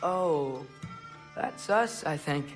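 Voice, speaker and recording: A man's voice: on the high side, animated, very slow, faint music behind. An actor playing a polite, eager teenage boy adventurer in a video game, with an earnest, youthful delivery. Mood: earnest, curious.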